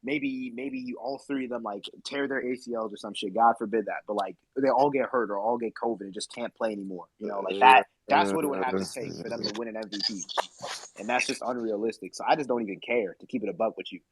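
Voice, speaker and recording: A man's voice: on the low side, fast talker, husky, dry recording, rough recording. A man hosting a casual discussion, talking emphatically and bluntly dismissing other views. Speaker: discussion host.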